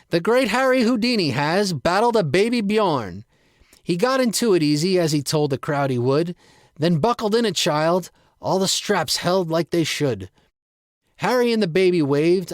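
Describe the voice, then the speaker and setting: A man recites rhymed verse like a showy storyteller. His voice sits a little high and swings widely in pitch. It is recorded dry and close. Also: no echo. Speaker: poet reading own poem.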